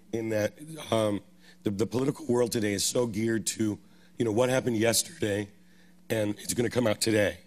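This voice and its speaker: Hoarse man with halting speech. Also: fairly low pitch. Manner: halting, many restarts. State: losing his voice. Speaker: panelist. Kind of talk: panel discussion on stage. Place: festival stage.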